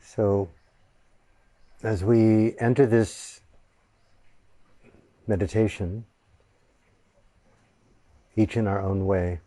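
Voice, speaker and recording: Male meditation teacher, low and warm, very slow and reverent, long pauses. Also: fairly even intonation, dry recording. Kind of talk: guided meditation.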